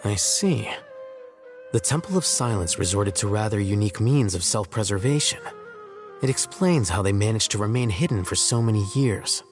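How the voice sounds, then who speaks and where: A man voicing a detached, analytical young man in a video game, deadpan and matter-of-fact. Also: on the low side, moderate pace, soft background music, natural voice. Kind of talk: English video game voice acting.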